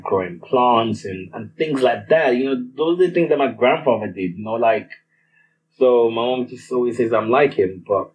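A young man with a Nigerian accent, telling a personal story in a hesitant monologue with many restarts. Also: on the low side. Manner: hesitant, lots of restarts and fillers. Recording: slightly muffled with faint ambient noise.

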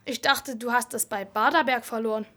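A man's voice: very high voice, steady tone, a little fast, bright, forceful tone. A young boy playing a confident, matter-of-fact police officer in an amateur radio play. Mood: matter-of-fact, smug. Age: child or young teen.

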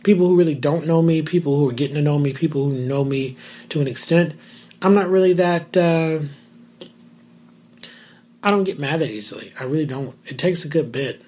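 A man with a naturally raspy voice, hosting his own talk show alone, talking candidly and cracking asides. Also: a little high, brisk, a little mellow. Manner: candid and joking, with asides and filler words. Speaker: podcast host. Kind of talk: solo casual talk show.

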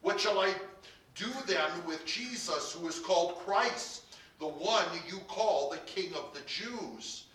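A man's voice: mid-range voice, lively intonation, a little slow, pushed and bright. A man voicing a troubled Roman governor, commanding yet pleading, with emphatic declamation in a dramatized scripture telling. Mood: pleading, exasperated. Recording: echoey room, distant microphone.

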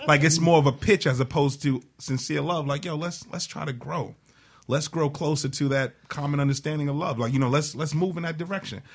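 A man's voice: a little high, fairly quick. A man talking casually as a panelist in a loose, streetwise, informal way, often checking in with listeners. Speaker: talk show panelist. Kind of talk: casual chat.

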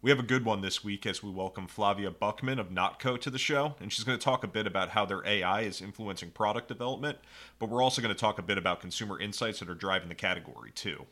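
A man's voice: lowish voice, quick pace, pushed and bright. A man hosting a podcast interview, upbeat and sprinkling in "you know" fillers. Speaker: podcast host.

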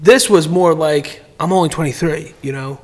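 A man's voice: on the high side, normal speed. A man in casual podcast chat, giving business advice in a rambling way, often repeating words and restarting sentences.

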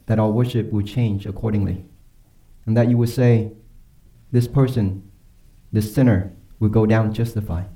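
Male pastor, deeply warm dark timbre, earnest and emphatic preaching, slows for weight. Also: poor audio. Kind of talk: sermon and closing prayer.

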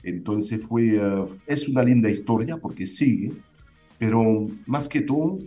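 Low, mellow man with a foreign accent. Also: unhurried, faint music behind. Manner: hesitant, many fillers and restarts. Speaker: winemaker guest. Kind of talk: interview answers.

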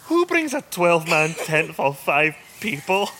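A man's voice: a little high, sing-song, a little slow. A man acting a cocky, mocking young stoner with a Scottish accent in a radio horror drama. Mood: cocky banter. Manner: brash, mocking. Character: cocky young male stoner egging on camping friends. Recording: noisy ambient background.

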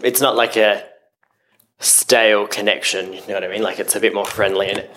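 A man's voice: on the low side, steady tone, a little fast, fairly bright tone, a little hiss or hum. A man, a guest on a podcast, talking casually and easily with lots of "like". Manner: easygoing, says "like" a lot.

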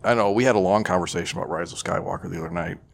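A man's voice: low-pitched, racing, vocal fry, dry recording. A man co-hosting a podcast, talking casually and slangily about pop culture with some swearing. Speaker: podcast co-host.

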